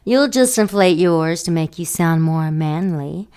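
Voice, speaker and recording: A woman's voice: fairly low pitch, lively intonation, measured pace, darkish tone, clear, resonant tone. A woman acting a seductive, teasing supernatural lover in a radio drama, sultry and playful.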